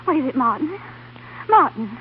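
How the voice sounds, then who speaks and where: Anxious young wife, tinny old radio. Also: female voice, high-pitched, very animated, moderate pace. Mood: hesitant, puzzled. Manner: folksy, fretful, coaxing. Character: devoted, anxious, plucky young wife of a scientist. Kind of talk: old-time American radio drama acting. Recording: tinny, noisy vintage radio recording.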